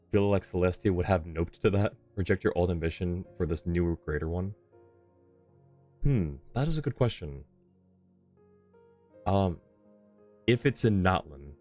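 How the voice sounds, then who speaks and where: A man's voice: sits low, darkish tone. A man hosting a game livestream, thinking aloud and chatting analytically with viewers in a casual way. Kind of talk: casual live-stream commentary, chatting with viewers. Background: game music.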